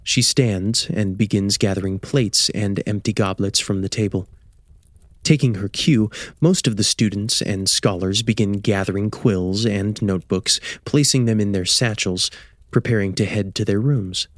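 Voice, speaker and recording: A man's voice: fairly low pitch, normal speed, no echo, clean studio sound. A man reading scripted story narration as the narrator of an audio drama.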